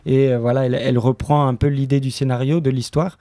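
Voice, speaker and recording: Hesitant, mellow-voiced man being interviewed. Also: medium pitch, flat delivery, no echo, studio quality. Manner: hesitant, halting explanation. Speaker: interview guest, member of a radio fiction production team. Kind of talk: unscripted radio interview.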